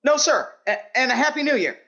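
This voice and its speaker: An actor playing a timid, polite, awkward teacher, hesitant and deferential, in a vintage radio sitcom style. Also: male voice, very high voice, animated, fairly quick, gravelly, bright, forceful tone, highs cut off, full character voice. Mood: nervous, deferential.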